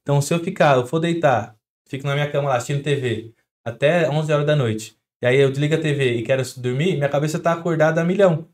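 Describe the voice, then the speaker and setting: Fast-talking Brazilian man explaining. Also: a little high. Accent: Brazilian Portuguese. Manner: explains with everyday examples, quick and chatty. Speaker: doctor guest. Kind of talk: podcast interview.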